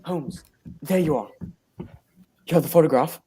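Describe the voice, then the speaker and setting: An actor playing a loyal, earnest gentleman sidekick in old-style radio drama, speaking politely and inquisitively. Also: a little high, unhurried, background noise, close to an everyday voice. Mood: puzzled. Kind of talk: 1940s radio drama acting.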